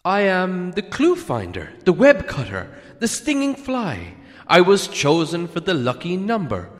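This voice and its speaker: A man voicing a small, nervous hobbit burglar in a storybook, thin-voiced, stammering a little and speaking in theatrical flattery and riddles. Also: high-pitched, unhurried, big-room sound, voice pushed up, exaggerated voice. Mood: boastful. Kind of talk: dramatic storybook character reading.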